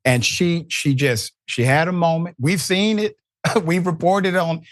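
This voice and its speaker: A man's voice: a little high, measured pace, no room sound, clean studio sound. A man hosting a news commentary show, reading reports and then arguing his view with rising emphasis. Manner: emphatic, rising to stress points. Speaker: host.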